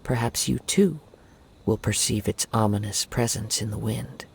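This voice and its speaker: A man voicing a calm young wandering samurai in a video game, soft-spoken, composed and reassuring. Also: on the low side, moderate pace, gravelly, a little hiss or hum.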